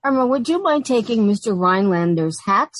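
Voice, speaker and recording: A woman's voice: medium pitch, expressive, brisk, dry recording, close to an everyday voice. A woman playing an anxious, sardonic young roommate who also narrates, in an old-time radio sitcom style. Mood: strained politeness. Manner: wry, long-suffering, nervous.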